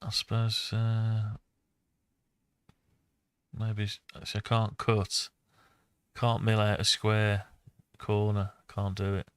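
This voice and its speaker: A man with a fairly low, flat voice thinks aloud through an on-screen design tutorial. He talks very slowly, muttering his way from one step to the next in a casual, unscripted way. The sound is dry and close. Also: smooth, dry recording. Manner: muttering to himself, talking through each step. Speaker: host of a CAD design tutorial.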